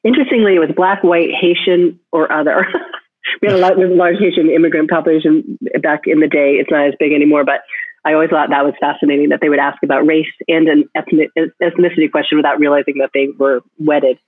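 A woman's voice: low-pitched, steady tone, fast, no echo. A woman photographer answering interview questions thoughtfully, often restarting her sentences as she thinks aloud. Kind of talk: reflective interview talk. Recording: tinny phone line, dry.

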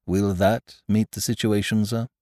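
A deferential valet speaks in a hushed, low, formal murmur, as a man doing the voices puts him on. He stays calm and unhurried. The sound is close and dry. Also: no room sound, lightly acted. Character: quiet, deferential male English valet.

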